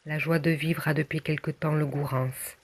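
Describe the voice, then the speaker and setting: A person from southwest France reciting a poem in measured, declamatory phrases with deliberate pauses. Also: medium pitch, flat delivery. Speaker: poem reader. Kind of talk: recited spoken-word poem.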